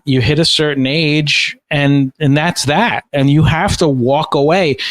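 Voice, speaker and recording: A man hosting a podcast, speaking in a reflective, measured, agreeable way in casual interview chat. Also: medium pitch, no echo. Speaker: podcast host.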